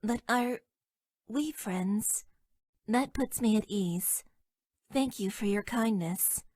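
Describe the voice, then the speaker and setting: Deadpan, slow-spoken young mecha pilot girl. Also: medium pitch, no room sound. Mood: shy, tender. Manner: deadpan, stilted formal phrasing, hesitant. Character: detached, self-deprecating young female mecha pilot, shyly affectionate. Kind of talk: video game character voice lines.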